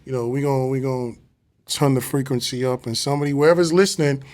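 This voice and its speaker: A man's voice: mid-range voice, steady tone, fairly quick, darkish tone. A man in his forties from Los Angeles speaking as an interview guest in a casual, slangy, motivational way, often adding check-in tags. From Los Angeles, USA. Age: 40s. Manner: slangy, peppers talk with check-in phrases like tags.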